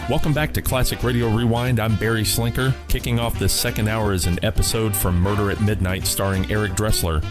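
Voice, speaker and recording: A man's voice: on the low side, steady tone, brisk, few pauses, over loud music. A man presenting a radio show as host, reading scripted introductions.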